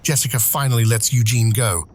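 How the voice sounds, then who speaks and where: A man reading dramatic scripted narration for an audio drama, with measured, deliberate phrasing. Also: medium pitch, a little mellow, slight background noise. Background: music.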